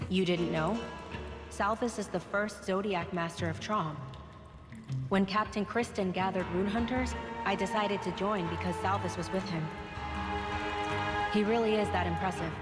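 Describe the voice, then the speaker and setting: A woman's voice: medium pitch, fairly even intonation, moderate pace, long pauses, close to an everyday voice. A woman playing a composed, helpful comrade in a game cutscene, giving matter-of-fact advice. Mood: thoughtful, admiring. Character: composed, helpful female rune hunter comrade. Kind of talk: scripted game cutscene dialogue. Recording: roomy long reverb, music behind.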